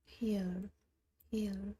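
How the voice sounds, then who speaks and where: A woman in her thirties from Armenia, who speaks Armenian natively, thinking aloud in a slow, halting way while she plays online chess. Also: mid-range voice, little pitch movement, mellow, a little hiss or hum. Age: 30s. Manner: slow, halting, muttering moves half to herself. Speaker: chess streamer.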